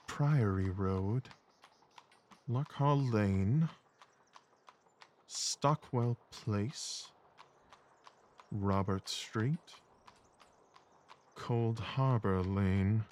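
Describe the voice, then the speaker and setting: A man playing a keen, observant Victorian gentleman detective, muttering in clipped, musing phrases in an audio drama. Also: fairly low pitch, drawn-out words, long pauses, slight background noise. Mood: absorbed musing.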